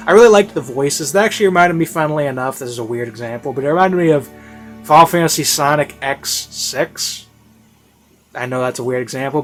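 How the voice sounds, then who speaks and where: A man giving a casual, rambling spoken review in a hesitant way, often trailing off and rephrasing himself. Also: medium pitch. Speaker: online reviewer. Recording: classical music behind the voice. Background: classical background music.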